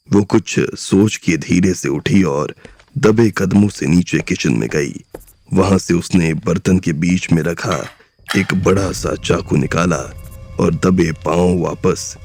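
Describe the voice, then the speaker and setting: A man narrating a crime drama audiobook in a measured, steady storytelling style. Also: low-pitched, vocal fry.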